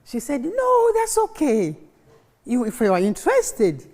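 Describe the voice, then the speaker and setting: Older-ish ugandan lady giving an informal talk, slow pace, asks the audience stuff, big echoey room. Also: fairly high pitch. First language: Luganda. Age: middle-aged or older. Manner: animated storytelling, prompting listeners with questions. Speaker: conference speaker. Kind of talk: informal conference speech with audience call-and-response. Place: conference hall, live talk to an audience. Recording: echoey hall, long reverb.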